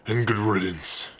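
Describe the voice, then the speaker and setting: A man voicing a gruff, fierce but tender orc warrior in an ASMR roleplay, with a husky, gravelly voice. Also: on the low side, bright, forceful tone, exaggerated voice. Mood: relieved, tender. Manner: menacing to foes, tender with loved one.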